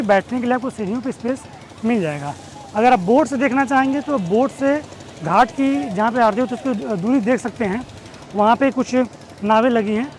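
A man, explaining things as a travel guide to camera, with pauses and English words mixed in. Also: extremely high pitch. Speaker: tour company host and guide. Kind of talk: informational travel vlog to camera. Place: outdoors on open riverside steps. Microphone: clip-on wireless lapel mic. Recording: noisy outdoor ambience, distant engines.

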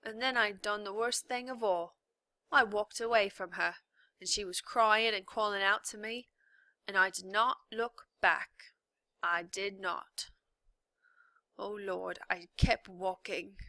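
Woman doing a rough, desperate male prisoner. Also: medium pitch, fairly even intonation, slow pace, dry recording. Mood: mournful. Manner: plain rough speech, loud shouting outbursts.

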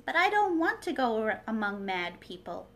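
A woman's voice: fairly high pitch, very animated, moderate pace, fairly bright tone. A woman voicing a curious, polite little girl in a storybook, with an earnest, emphatic way of questioning. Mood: puzzled, insistent.

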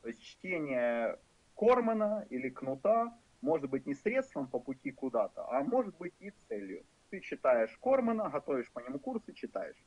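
A man's voice: fairly high pitch, very animated, moderate pace, a little mellow, dry recording. Ukrainian-accented guy in his 30s lecturing, wry and rambly, dull lo-fi dry recording. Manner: frank, wry, loose and rambling with fillers. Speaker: programming lecturer. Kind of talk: conversational lecture. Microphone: voice-over for a screen recording.

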